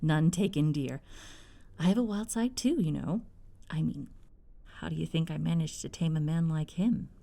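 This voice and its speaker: A woman's voice: sits low, normal speed, vocal fry, dark tone. A woman playing a bubbly, devout middle-aged mother in an audio roleplay, gushing, playful and affectionate. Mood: teasing. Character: bubbly, devout, teasing mother, soon a grandmother.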